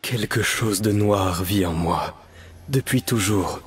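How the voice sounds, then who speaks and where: An actor playing a melancholic painter mage torn between light and darkness, speaking solemn, measured, poetic lines for a video game. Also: male voice, lowish voice, husky, heavily acted. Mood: brooding, solemn. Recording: music behind the voice.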